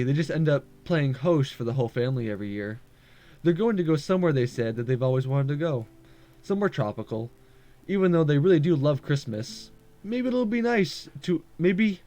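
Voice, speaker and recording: A man's voice: fairly high pitch, darkish tone, lightly acted. A man voicing a lonely, homesick university student in introspective, polite visual novel narration. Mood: disappointed, resigned. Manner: introspective first-person narration, polite and subdued. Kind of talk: visual novel read-aloud. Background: music.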